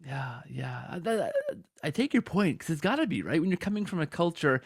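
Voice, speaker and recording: A man's voice: fairly high pitch, big pitch swings, fairly quick, gravelly, slightly rough, no room sound, clean studio sound. A man hosting a conversational interview podcast, speaking effusively with choppy restarts and repeated phrases. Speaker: podcast host.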